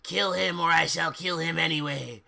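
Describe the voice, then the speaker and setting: A man voicing a menacing, pompous evil dark lord from a story, with slow, archaic, threatening speech. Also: a little high, gravelly, full character voice.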